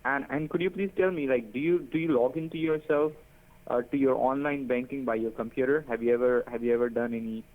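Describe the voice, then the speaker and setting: A man's voice: mid-range voice, fairly even intonation, brisk. A man with a mid-range voice pushes his instructions again and again in broken phrasing. His voice comes through a thin, tinny phone line. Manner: insistent, repetitive, broken phrasing. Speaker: phone scammer. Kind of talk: scam phone call.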